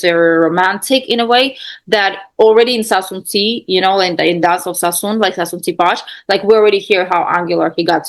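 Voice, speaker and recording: A woman musician answering questions in a spontaneous, thoughtful way, halting and repeating phrases for emphasis as she explains. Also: medium pitch. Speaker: musician and guest lecturer on a composer.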